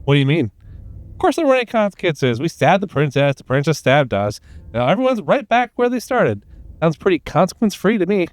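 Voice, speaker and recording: A man's voice: on the high side, sing-song, fairly quick, no echo. A man reading game dialogue aloud in character during a playthrough. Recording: dry, faint music behind.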